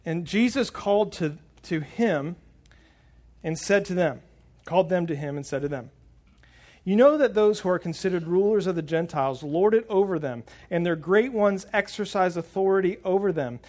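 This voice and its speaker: A man's voice: sits high, expressive, normal speed, a little mellow. A man preaching a sermon on a lapel mic to a congregation. He is earnest and often repeats words as he starts a thought. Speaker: pastor. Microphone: wireless lapel mic pack.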